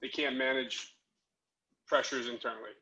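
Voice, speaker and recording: A man's voice: mid-range voice, fairly even intonation, normal speed, pushed and bright. A man taking part in a casual group call, giving short, tentative answers to a coach.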